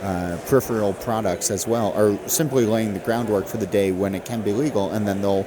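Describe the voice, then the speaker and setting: A man's voice: fairly low pitch, fairly even intonation, brisk, noisy background. A man hosting a podcast, chatting casually with a guest and reading plugs at the close. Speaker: podcast host. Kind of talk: casual interview and show sign-off.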